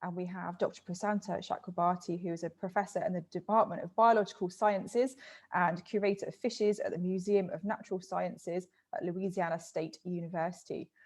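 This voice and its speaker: A woman's voice: fairly low pitch, steady tone, brisk, darkish tone. A woman moderating an online panel, upbeat and welcoming as she introduces the speakers.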